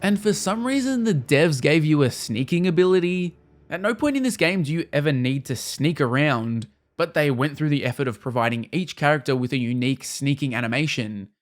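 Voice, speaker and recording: A man's voice: fairly high pitch, expressive, a little fast. A man narrating a scripted video game review in a casual, wry manner with the odd mild swear.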